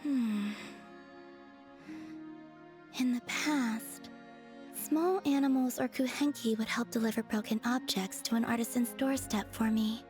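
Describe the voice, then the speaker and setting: A woman voicing a gentle, serene, otherworldly young maiden in a video game, speaking softly and formally, with music behind. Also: on the high side, natural voice. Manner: soft, composed, formal.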